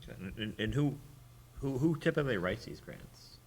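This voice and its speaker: A man chairing a school committee meeting in brisk procedural talk. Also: medium pitch, expressive, a little mellow. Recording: noisy public meeting room recording.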